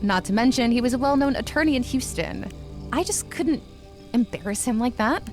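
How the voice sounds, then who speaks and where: A woman's voice: fairly high pitch, lively intonation, brisk, faint music behind, natural voice. A woman acting a wounded, defensive woman in her twenties in a dramatized audiobook, tough and guarded. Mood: bitter, guarded. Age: 20s. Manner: guarded, bitter, profane. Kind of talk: dramatized audiobook, first-person recounting.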